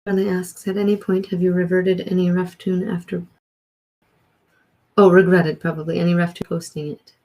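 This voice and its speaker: A woman's voice: fairly low pitch, flat delivery, normal speed, dark tone, rough recording. A woman co-hosting a casual livestream, chatting and reading viewer comments aloud. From Wisconsin. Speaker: co-host of a livestream.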